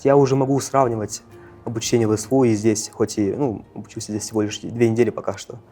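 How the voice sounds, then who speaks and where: A young man of eighteen, a student, talks casually in an interview, halting and correcting himself. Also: on the low side, steady tone, dark tone. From Russia, Yakutia. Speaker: first-year university student. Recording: faint music behind the voice. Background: faint background music.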